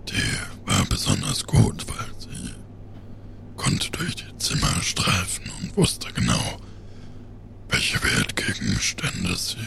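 A man playing a self-proclaimed muscular, dangerous pirate with a soft, melancholy streak in an improvised audio drama, halting and theatrical. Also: a bass voice, vocal fry, slight background noise, full character voice.

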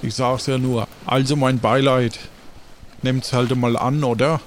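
A man's voice: mid-range voice, steady tone, a little slow, slight background noise, natural voice. A man playing a laid-back, rambling sailor in improvised pirate role-play, speaking colloquially over music.

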